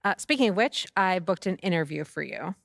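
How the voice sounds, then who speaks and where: An actress playing a supportive, savvy American publicist in a video game, coaching and encouraging. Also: female voice, fairly low pitch, lively intonation, fairly quick, creaky, a bit bright, no room sound, studio quality, heavily acted. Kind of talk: naturalistic game cutscene dialogue.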